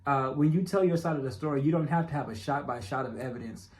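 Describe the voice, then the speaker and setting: A man's voice: medium pitch, fast talker. A man with a stutter and a noticeable speech impediment narrates his own documentary. He speaks in a loose, conversational way, addressing viewers directly. He repeats lines for emphasis. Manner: repetitive, emphatic, talks straight to viewers. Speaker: self-made documentary narrator. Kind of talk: casual direct-address monologue.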